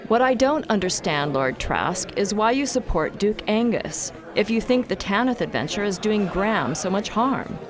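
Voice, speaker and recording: A woman's voice: mid-range voice, lively intonation, normal speed, few pauses, natural voice. A woman playing a tough, confident space raider captain in a radio drama, cool, direct and challenging. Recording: busy crowd chatter behind.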